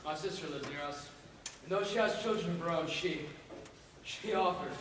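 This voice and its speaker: Man playing stern reverend husband, righteous, formal stage delivery, high male voice, distant noisy recording. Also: moderate pace, lightly acted. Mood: anxious, formal. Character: stern pious reverend husband committing his wife. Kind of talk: stage play acting. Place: auditorium stage, recorded from far off. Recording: very echoey stage, distant, clear hiss.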